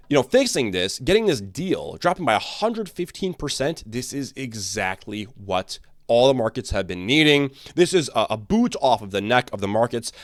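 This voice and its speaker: A man hosting his own market commentary channel, talking casually and energetically, his voice a little raspy from talking all day. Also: mid-range voice, no echo, studio quality. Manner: energetic, animated, restarts and fillers. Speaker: crypto channel host.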